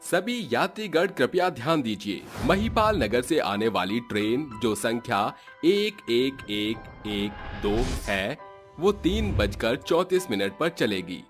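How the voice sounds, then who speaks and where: Man as a formal station announcer, middle pitch. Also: very animated, faint music behind. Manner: measured, formal.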